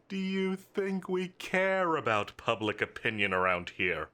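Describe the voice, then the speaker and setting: A man's voice: medium pitch, big pitch swings, bright, forceful tone, exaggerated voice. A man playing a smug, theatrical supervillain boss. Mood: menacing, amused. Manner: theatrical, mocking, drawn-out condescending delivery. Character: smug, menacing male supervillain boss toying with his enemy. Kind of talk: scripted audio roleplay.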